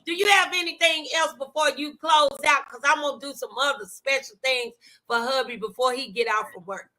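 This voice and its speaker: A woman's voice: high-pitched, normal speed, pushed and bright. A middle-aged woman talk show host chatting motivationally, emphatic and preacherly, repeating phrases to drive them home.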